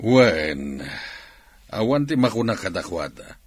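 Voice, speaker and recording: A man's voice: fairly low pitch, sing-song, takes it slowly, no room sound, faint music behind, exaggerated voice. A man acting a reasonable, plain-speaking man who argues his point, in Ilocano radio drama with a Filipino accent. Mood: reasoning, insistent.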